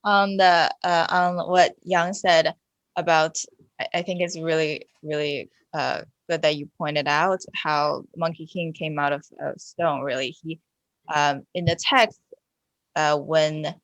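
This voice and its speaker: A woman's voice: low voice, flat delivery, a little slow, a bit bright, no echo. A woman speaking as a panelist in a casual podcast discussion.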